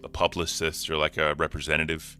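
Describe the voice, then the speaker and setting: A man with a deep voice, co-hosting a podcast in casual chat, joking and swearing freely. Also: monotone, brisk, sharp tone, smooth, faint music behind. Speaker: podcast co-host.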